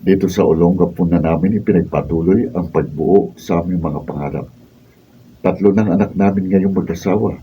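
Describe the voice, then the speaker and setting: A Filipino man acting as an earnest, steadfast young husband and father in a radio drama, speaking in solemn, measured declarations. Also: low-pitched, highs cut off, natural voice. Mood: reflective, hopeful. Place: recording studio, behind-the-scenes camera recording. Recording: roomy distant mic, faint background noise.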